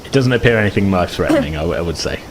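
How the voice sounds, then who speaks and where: Man, deep-ish voice, game master, rambling casual narration, faint background noise. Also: lively intonation, rapid-fire. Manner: rambling, hedging explanations.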